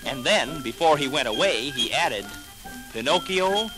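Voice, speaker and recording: Lively vintage male storyteller. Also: on the high side, a little slow, rough recording. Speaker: narrator. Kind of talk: 1940s children's storytelling. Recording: old 78 rpm record with music.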